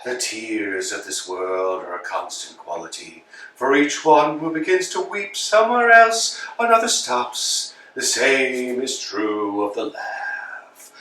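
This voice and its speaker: An actor playing a pompous, domineering landowner in a staged play reading, swinging between grand bluster and hushed asides. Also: male voice, medium pitch, distant mic, full character voice. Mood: philosophizing. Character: pompous domineering landowner, middle-aged man. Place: large room, performed on its feet. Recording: echoey room, from afar.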